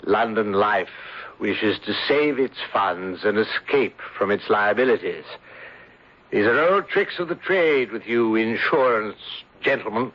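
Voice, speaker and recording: A courteous villain of a radio mystery, speaking rather low in formal, aggrieved phrases. He sounds plausible and falsely regretful. The broadcast is old and dull. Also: lively intonation, measured pace. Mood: coldly defiant. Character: smooth, plausible gentleman villain, falsely sorrowful. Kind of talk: old-time radio drama acting.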